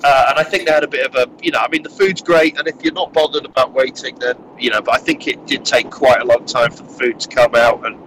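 A man's voice: mid-range voice, fairly even intonation, fast talker, hardly any pauses, a bit bright, slight background noise, poor audio. A man with a head cold, sounding nasal, chatting casually and rambling through filler-heavy restarts while he drives. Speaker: podcast co-host recounting a wargaming tournament. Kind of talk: casual chat. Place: in a moving car.

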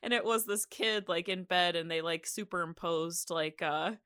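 A woman's voice: lowish voice, moderate pace, a bit bright, clear, resonant tone. A woman co-hosting a podcast, talking casually and slangily like a storyteller among friends. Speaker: podcast co-host. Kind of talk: casual, chatty podcast discussion.

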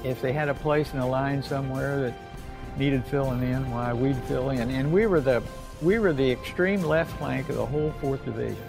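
Elderly American male veteran, oral-history storyteller, plain-spoken and folksy, with a gravelly edge over a music bed. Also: medium pitch, moderate pace, rough recording. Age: older. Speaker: World War II veteran recounting memories. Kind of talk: unscripted oral-history reminiscence.

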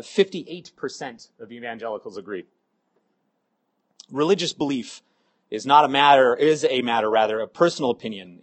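A middle-aged man with a cold, sounding hoarse, giving a halting sermon full of ums and uhs. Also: medium pitch. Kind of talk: sermon with scripture reading.

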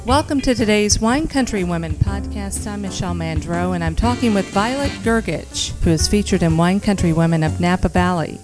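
American lady podcast host, medium pitch, asking questions in an office. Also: lively intonation, a little fast, hardly any pauses, over music. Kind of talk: conversational interview. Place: guest's office.

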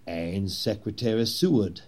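An actor playing a zealous, persuasive Confederate conspirator in a historical drama, with a husky voice and theatrical, oratorical delivery. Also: male voice, lowish voice, expressive, a little slow, darkish tone. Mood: scheming. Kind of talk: period historical drama acting.

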